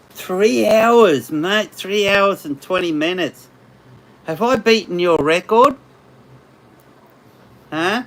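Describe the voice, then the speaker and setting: An Australian man hosting a casual livestream, chatting with viewers in a rambling way, his voice slightly hoarse after hours of talking. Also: high-pitched, fairly bright tone. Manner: rambling, chatty, laughs often. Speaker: livestream host. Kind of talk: casual live chat with viewers.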